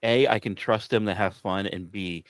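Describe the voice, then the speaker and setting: A man's voice: on the low side, normal speed, clean tone, dry recording. A man in casual conversation, speaking effusively and often repeating words as he starts a phrase. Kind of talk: casual chat.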